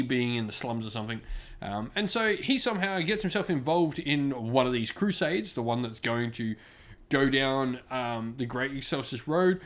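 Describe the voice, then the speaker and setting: A man's voice: medium pitch, a bit bright. A man hosting an informal book review in a conversational, explanatory way, leaning on key words. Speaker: book reviewer and channel host.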